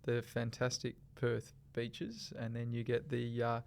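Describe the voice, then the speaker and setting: A man with a gravelly, creaky edge to his voice hosts a podcast interview. He speaks in a friendly way, stumbling and repeating words as he builds up long questions. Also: medium pitch, monotone, measured pace, darkish tone. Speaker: podcast host.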